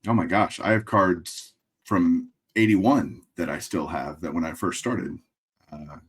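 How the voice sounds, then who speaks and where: Deep-voiced Texan man on a video call. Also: a little slow, slightly rough. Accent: Texas. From Texas. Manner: relaxed, dry humor, thinks out loud. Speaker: guest collector. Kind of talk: casual interview over video call. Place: room full of framed memorabilia. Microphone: webcam video call.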